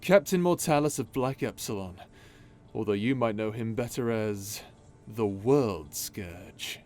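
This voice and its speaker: A man playing a sinister, taunting space pirate villain in an audio roleplay, talking slowly with menace and mockery. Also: fairly low pitch, animated, a little mellow. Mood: cold, gloating. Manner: slow, menacing, mocking. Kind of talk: ASMR audio roleplay drama.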